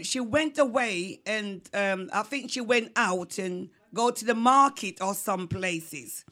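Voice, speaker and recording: A Jamaican woman tells an old story from her childhood in a high, bright voice. She speaks slowly and rambles warmly, breaking into snatches of song as she goes. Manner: rambling and warm, breaks into singing. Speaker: guest. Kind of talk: telling a childhood folk story.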